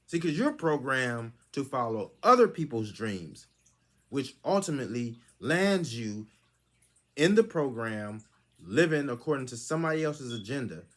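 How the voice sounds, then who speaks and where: A man's voice: mid-range voice, big pitch swings, measured pace. A man hosting his own channel, giving a lecturing monologue that is full of rhetorical questions. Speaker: channel host. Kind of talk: preachy monologue commentary.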